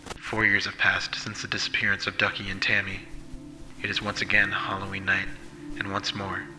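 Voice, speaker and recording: A man playing a solemn, measured narrator of a scripted Halloween horror story. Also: low-pitched, completely flat delivery, sharp tone, soft background music, low-quality recording.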